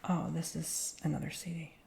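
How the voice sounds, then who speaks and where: A woman whispering casually in a show-and-tell video, rambling with lots of fillers and slowly reading out labels. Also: deep voice, level tone, a little hiss or hum. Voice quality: soft whisper with creaky edges. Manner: reads names and titles slowly.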